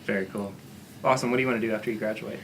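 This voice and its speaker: A woman conducting a casual interview, enthusiastic and quick to laugh. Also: very deep voice, steady tone, slight background noise, poor audio.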